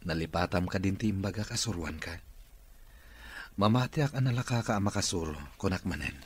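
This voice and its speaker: An actor with an Ilocano accent playing an ailing, commanding business boss in a radio drama, authoritative and deliberate. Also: male voice, low voice. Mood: decided, reassuring.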